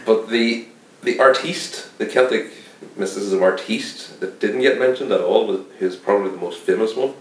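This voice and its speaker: A man co-hosting a casual film podcast with dry jokes. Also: normal speed. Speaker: podcast co-host. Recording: echoey room, distant, faint rumble.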